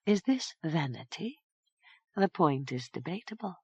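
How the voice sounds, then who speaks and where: A woman voicing a vain, sly fairy mother who speaks in a soft, silken voice. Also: low-pitched, sing-song, measured pace, breathy edge, dry recording, heavily acted. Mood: sullen.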